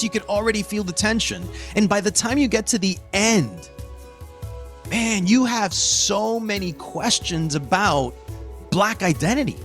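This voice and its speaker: A man's voice: high-pitched, a bit bright. A middle-aged man from Queens, New York, hosting a casual podcast discussion with emphatic, slangy talk. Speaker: podcast host.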